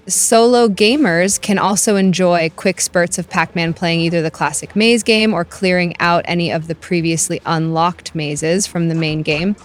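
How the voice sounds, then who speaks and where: Woman, game review voice-over, measured reading, lowish voice, faint music behind. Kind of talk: scripted review narration.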